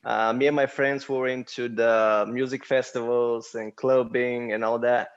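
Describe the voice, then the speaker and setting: A man's voice: mid-range voice, level tone, a little slow, clear, resonant tone, lo-fi sound. A man from Bulgaria, a podcast guest talking easily with lots of "you know.". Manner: easygoing, many "um" and "you know" fillers. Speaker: guest, cannabis business co-founder. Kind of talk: casual interview chat.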